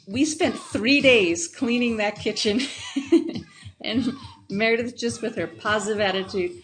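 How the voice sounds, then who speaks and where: A woman in her fifties telling a friend a story for a recorded birthday tribute, relaxed, with fillers. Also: mid-range voice.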